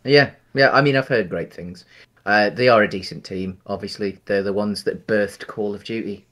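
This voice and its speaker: Man, thirties, low voice, meandering chatty co-host on a headset video call. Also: moderate pace, a bit bright. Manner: rambling, many ums and restarts. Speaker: podcast co-host. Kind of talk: casual chat. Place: home room, video call.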